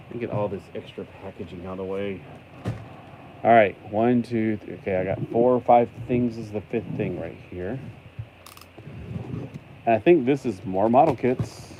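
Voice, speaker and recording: A low-pitched American man talks over clearly noisy sound. He rambles casually and playfully, like a hobbyist vlogger opening packages. Much of it sounds like thinking out loud to whoever is watching. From United States. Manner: rambling, playful, talks to himself, acts out imagined replies. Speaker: toy collector unboxing mail on a vlog. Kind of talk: casual rambling unboxing chat.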